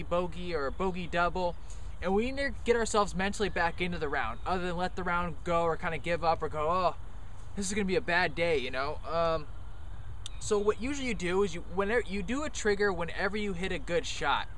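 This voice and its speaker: Young guy with a high voice doing a chill golf lesson outside, jokey and rambling, faint outdoor noise behind. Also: fairly bright tone. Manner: loose, joking, chatty with many fillers. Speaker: golf coach. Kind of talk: casual golf lesson on the course.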